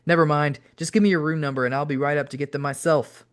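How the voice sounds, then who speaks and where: A man's voice: a little high, fairly even intonation, fast, clear, resonant tone, pitched above the performer's own voice, natural voice. A man voicing a mischievous prankster wrestler in a phony, over-exaggerated way for an audiobook. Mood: pushy. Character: cheery, mischievous prankster wrestler needling people.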